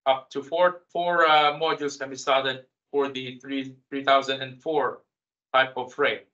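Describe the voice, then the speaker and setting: A man giving a technical product webinar as a specialist, in a halting, hesitant way with repeated words. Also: mid-range voice, little pitch movement, pushed and bright. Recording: slightly dull, lo-fi webinar audio.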